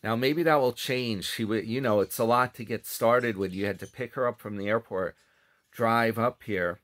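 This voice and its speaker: A man's voice: mid-range voice, normal speed, fairly bright tone. A middle-aged man casually chatting to camera from a small room at home, rambling and correcting himself as he goes. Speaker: commentary vlogger. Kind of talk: casual commentary on another channel's videos. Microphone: webcam or phone close up.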